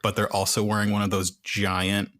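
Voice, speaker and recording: Lowish-voiced man, dry sound, gaming. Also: monotone, moderate pace, fairly bright tone, dry recording. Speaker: tabletop game player. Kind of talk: casual tabletop role-play chat.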